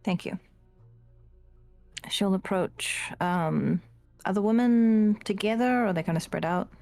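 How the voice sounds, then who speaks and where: A woman playing a tabletop roleplaying game, describing her character's actions with hesitant pauses. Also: mid-range voice, gravelly, darkish tone. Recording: music playing behind the voice. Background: music.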